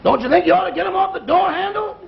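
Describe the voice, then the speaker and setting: An actor playing an excitable, wisecracking comic sidekick in a 1950s radio comedy. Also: very high-pitched, fast talker, creaky, heavy background noise, heavily acted. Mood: excited wisecracking. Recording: muffled old radio recording, loud studio audience.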